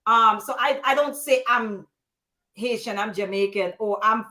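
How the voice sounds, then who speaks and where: A woman from the U.S. Virgin Islands hosting a live panel, telling stories in an animated, emphatic way. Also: a little high, a little slow. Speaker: host.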